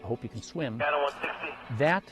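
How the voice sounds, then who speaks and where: A man's voice: medium pitch, expressive, a little slow, faint music behind. A man presenting a technology project channel, explaining and appealing to viewers in a scripted, direct-to-audience style.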